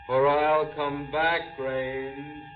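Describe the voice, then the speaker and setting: An actor playing a vengeful dead man come back as a ghost, slow, ominous and taunting in 1940s radio horror style. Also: fairly high pitch, little pitch movement. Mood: taunting menace. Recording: dull 1940s radio recording with music.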